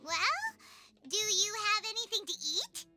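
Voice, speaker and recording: A woman's voice: very animated, unhurried, exaggerated voice. A woman voicing a tiny, cheeky, food-loving fairy companion in a video game, childlike and cartoonishly cute. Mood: hungry, hopeful. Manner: childlike, squeaky, speaks of self in third person. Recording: loud game music behind voice.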